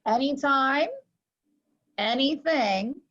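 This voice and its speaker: A woman's voice: high voice, slow, fairly bright tone. A woman hosting a children's story show, reading aloud and chatting with exclamations to young listeners. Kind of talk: children's read-aloud with chat. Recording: muffled lo-fi audio.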